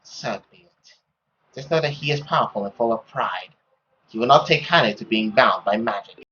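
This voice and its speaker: A man's voice: medium pitch, animated, moderate pace, pushed and bright, lo-fi sound, a little hiss or hum, pitched below the performer's own voice. A man voicing a stern, mournful mother in a visual novel, solemn and formal. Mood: grave warning.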